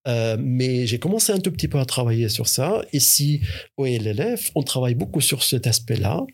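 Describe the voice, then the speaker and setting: A man's voice: mid-range voice, big pitch swings, normal speed, slightly rough. A man, a researcher, answering interview questions in a hesitant way, with many fillers and restarts. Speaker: researcher and university teacher.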